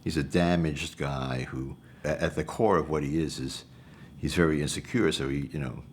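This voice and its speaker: A man talking casually and thoughtfully in a documentary interview, with halting delivery. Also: a bass voice, fairly even intonation, a little hiss or hum.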